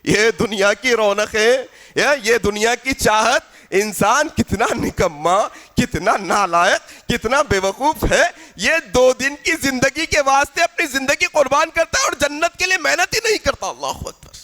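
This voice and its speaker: A man preaching an impassioned religious sermon, exhorting with repeated phrases, swinging between loud, chant-like proclamation and hushed exclamations. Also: very high-pitched.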